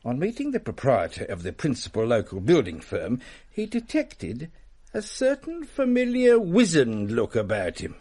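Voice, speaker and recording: A man playing a prim, excitable Victorian English gentleman in a radio drama, telling tales in formal, theatrical phrases. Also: medium pitch, moderate pace. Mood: relishing the tale. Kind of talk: period radio drama storytelling.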